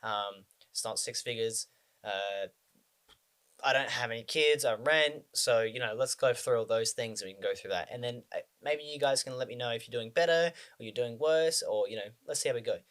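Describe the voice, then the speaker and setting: A man's voice: mid-range voice, normal speed, fairly bright tone, clean tone. A man in his twenties from Australia, talking casually to the camera about personal finance, rambling with frequent ums and restarts. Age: 20s.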